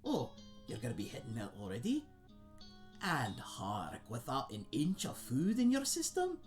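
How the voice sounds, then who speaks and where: A man's voice: mid-range voice, wildly swooping pitch, moderate pace, faint music behind. A man playing a friendly, chatty old tavern keeper in a fantasy audio roleplay, with a folksy, rambling, self-deprecating way of talking to the listener. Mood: hospitable, joking. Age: older. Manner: rambling, folksy, hesitant, chuckling aside to a guest.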